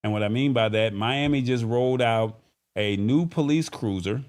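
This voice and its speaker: A man with a medium-pitched voice hosts a casual live talk show. He speaks informally and conversationally, addressing the audience directly as he goes. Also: normal speed, darkish tone. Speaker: show host. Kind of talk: casual live-stream talk show, reading viewer comments.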